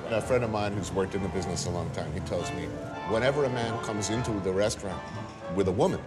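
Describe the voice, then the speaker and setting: A male television host with a somewhat low voice talks in a dry, wry, conversational way. He swaps stories with the people he meets. Clear music sits under his voice. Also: quick pace. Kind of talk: casual interview and narration.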